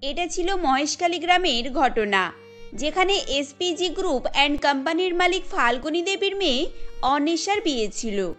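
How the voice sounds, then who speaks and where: A woman's voice: high voice, animated, normal speed, fairly bright tone, faint music behind. A woman narrating a scripted cartoon story.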